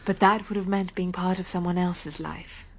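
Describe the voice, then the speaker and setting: An actress playing a gentle, enigmatic young woman, simple and wistful, in a radio drama. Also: on the low side, steady tone, moderate pace. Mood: resigned, wistful.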